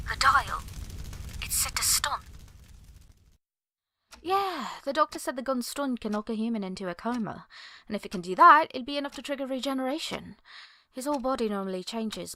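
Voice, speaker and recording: A woman playing an earnest, brisk adventurer who explains things, in a fan audio drama. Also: a little high, bright, forceful tone, slight background noise. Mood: shocked.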